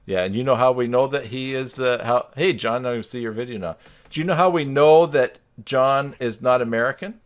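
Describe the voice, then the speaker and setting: A man's voice: medium pitch, moderate pace. A man hosting a live stream over a video call, chatting casually with a joking, teasing manner.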